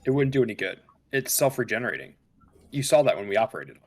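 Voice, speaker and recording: A man's voice: medium pitch, big pitch swings, fast. A man playing a cool, pedantic science officer on a spaceship, precise and clinical in a scripted read.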